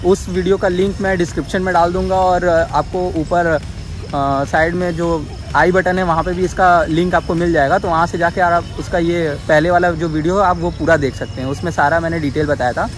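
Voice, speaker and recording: A young man with a Varanasi Hindi accent chats through a casual walkthrough vlog, his voice sitting slightly high. He records outdoors on a city sports field with a phone held at arm's length. Soft music runs faintly beneath his voice. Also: little pitch movement, brisk. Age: young adult. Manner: chatty guide pointing things out to viewers. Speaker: vlogger. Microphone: phone camera held at arm's length.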